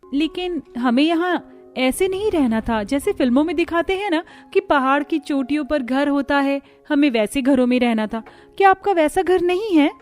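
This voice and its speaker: High-voiced eager young village bride played by a woman. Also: animated, normal speed, a little mellow, soft background music. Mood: insistent. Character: eager young village bride, dreamy and pleading cartoon daughter-in-law. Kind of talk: cartoon story dialogue.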